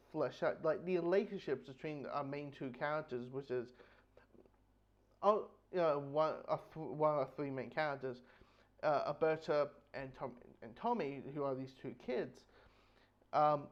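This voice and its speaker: A man giving a casual, rambling review, with halting delivery, frequent false starts and repeated words. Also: on the high side. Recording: dull lo-fi microphone sound.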